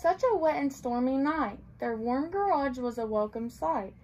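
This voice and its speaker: A woman's voice: sits high, animated, normal speed, a little mellow, a little hiss or hum, poor audio. A young woman reading a picture book aloud to small children in a sing-song storytelling way, as a playschool teacher. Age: young adult. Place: small tiled indoor room.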